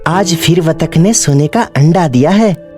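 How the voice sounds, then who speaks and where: A woman's voice: low voice, unhurried, darkish tone, soft background music. A woman voicing a humble young village brother in a Hindi cartoon story. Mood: pleased.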